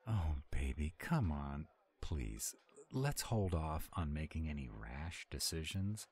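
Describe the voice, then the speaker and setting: A man playing a grumpy, self-doubting were-dragon cook in a roleplay audio drama, gruff but tender and grumbling reluctantly. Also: rumbling low, big pitch swings, slow pace, darkish tone, full character voice. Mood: pleading, tender.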